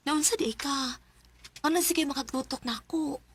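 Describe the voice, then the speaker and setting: A woman playing a high-voiced affectionate young friend. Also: normal speed, a little hiss or hum, lightly acted. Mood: eager, playful. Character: affectionate young mother, eager, clingy best friend. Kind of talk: Bisaya radio drama acting.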